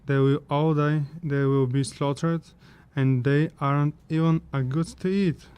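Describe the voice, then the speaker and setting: A man's voice: fairly high pitch, fairly even intonation, unhurried, darkish tone, clean tone, close to an everyday voice. A man playing a brooding, sardonic chimera wanderer in a fantasy audio drama, grumbling his way through monologues.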